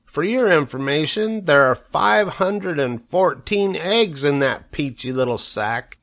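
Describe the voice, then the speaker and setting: A man voicing a naive, earnest young male pig in a high, eager voice. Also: expressive, unhurried, a bit bright. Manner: eager, piping.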